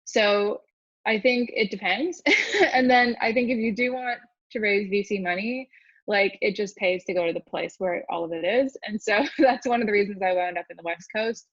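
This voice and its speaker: A woman gives casual founder advice on a panel, her talk informal and peppered with "like". The sound is dry and a bit dull, as if over a call, and her pitch sits in the middle. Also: moderate pace, no echo. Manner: informal, frequent "like" as filler. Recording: slightly dull, lo-fi call audio.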